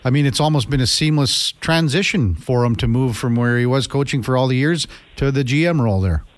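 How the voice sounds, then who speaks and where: A man's voice: mid-range voice, brisk, no echo. A young male radio host interviews his guest with open enthusiasm, often restarting his questions with little fillers. His voice sounds close and dry in the studio.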